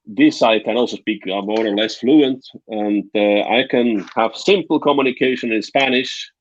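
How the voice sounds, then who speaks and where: A man's voice: mid-range voice, moderate pace, no echo. A man from Switzerland with a Swiss German accent, chatting casually on a video call, hesitant and full of restarts. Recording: dull lo-fi call audio.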